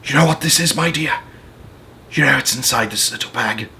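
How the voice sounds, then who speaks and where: A man reading aloud, voicing a creepy old man who speaks slowly in a creaky, coaxing whisper. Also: medium pitch, pushed and bright, slight background noise.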